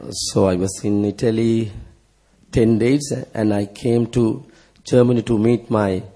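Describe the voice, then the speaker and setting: Husky middle-aged Sri Lankan preacher. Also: male voice, lowish voice, fairly even intonation, mellow. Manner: halting, broken non-native English. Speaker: visiting preacher. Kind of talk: sermon through an interpreter, in short phrases.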